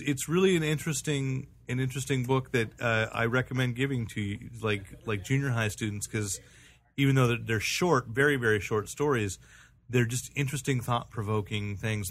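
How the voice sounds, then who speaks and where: American man in halting, casual podcast chat. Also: medium pitch, fairly bright tone. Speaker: podcast host. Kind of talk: casual comics review chat.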